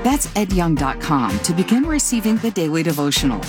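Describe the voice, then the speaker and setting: A man's voice: a little high, big pitch swings, a little fast. A man reading a scripted radio program introduction as the announcer. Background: music bed.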